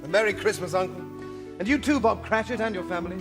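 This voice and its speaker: An actor playing a friendly, cheerful nephew in a musical radio drama. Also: male voice, sits high, quick pace, music in the background. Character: friendly, cheerful nephew wishing festive greetings.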